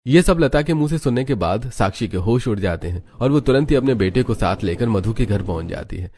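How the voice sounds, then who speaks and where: A man's voice: mid-range voice, expressive, a little fast, a little mellow, husky, no room sound. A man narrating a scripted story as its storyteller.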